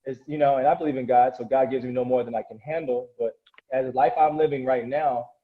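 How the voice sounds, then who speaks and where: A man's voice: mid-range voice, fairly even intonation, fast. A man whose first language is Korean speaks as a coach in a casual interview. He talks earnestly and motivationally, rambling and restarting his thoughts. His mid-range voice comes through dull and lo-fi.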